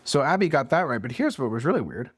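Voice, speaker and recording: A man with a mid-pitched voice and a dry, close sound co-hosts a relaxed book discussion. He moves between reading aloud and playful, joking commentary. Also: fast talker, slightly rough, dry recording. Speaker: podcast co-host reading a classic aloud. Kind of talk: casual book chat with dramatic read-aloud passages.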